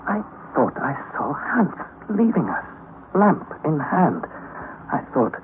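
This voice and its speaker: A young explorer telling his story in earnest narration. His pitch moves a lot, rising and dropping with the tale. The old broadcast sounds thin and phone-like, with faint hiss underneath. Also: very animated, slow pace. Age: young adult.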